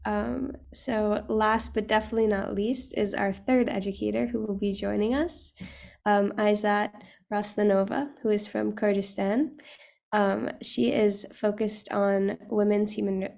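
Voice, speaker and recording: A woman hosting an online session, introducing guest speakers in a halting, formal and courteous way. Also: medium pitch, fairly even intonation, vocal fry, a little mellow. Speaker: host. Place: online video call.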